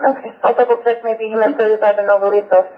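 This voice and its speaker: Fast, high-pitched man on police radio. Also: level tone. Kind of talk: terse police radio traffic. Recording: tinny, narrow-band police scanner radio.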